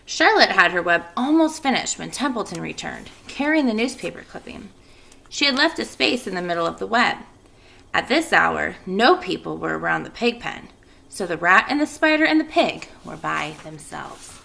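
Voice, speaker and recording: A woman's voice: on the low side, very animated, moderate pace, creaky, bright, forceful tone. A woman speaking as an elementary school teacher, addressing and reading to children. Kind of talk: children's read-aloud and casual class announcements.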